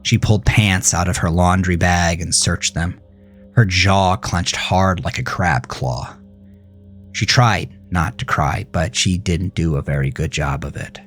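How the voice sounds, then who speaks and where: A man's voice: low-pitched, a little slow, fairly bright tone, faint music behind. A man narrating a horror story in a dramatic, grave and suspenseful manner. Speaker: author narrating his own horror fiction.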